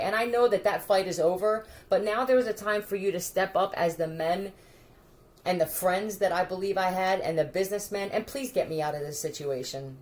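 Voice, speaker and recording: Woman kinda low voice, rambling crude monologue, swearing at folks who aren't there. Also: darkish tone. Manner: crude, scattered, addresses unseen listeners. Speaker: video creator.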